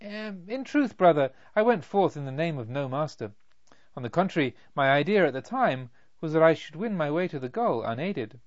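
A man's voice: on the high side, very animated, moderate pace, no room sound, lo-fi sound. A man voicing an agitated, fervent young male pilgrim, earnest, wordy and exclamatory, in a storybook reading.

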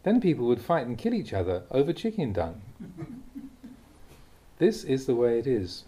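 A man, a monk, reading aloud a Buddhist teaching in a calm, deliberate way. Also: breathy edge. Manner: calm, deliberate reading with lively pitch on key words. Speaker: monk reading Dhamma talks.

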